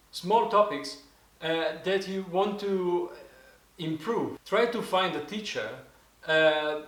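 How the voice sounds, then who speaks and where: A man in his forties with an Italian accent, teaching in a casual spoken lesson, often pausing and restarting as he searches for words in English. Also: high-pitched, a bit bright, roomy sound. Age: 40s. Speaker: didgeridoo teacher.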